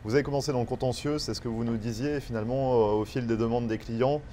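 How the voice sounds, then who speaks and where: A man's voice: medium pitch, steady tone, fast talker, warm tone, a little hiss or hum. A man hosting a television interview, putting questions conversationally in loose, hesitant phrasing. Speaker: TV show host, interviewer.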